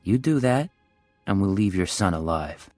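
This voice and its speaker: An actor playing a cool, quietly menacing spy in a video game, with a husky voice and measured, controlled delivery. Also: male voice, sits low, animated, dry recording, faint music behind. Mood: cool, firm. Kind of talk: dramatic video game acting.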